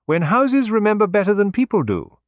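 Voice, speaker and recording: A man voicing a refined, philosophical foreign detective in a detective audiobook, speaking gently, precisely and deliberately. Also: high-pitched, big pitch swings, no echo, voice pushed up. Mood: gently reflective.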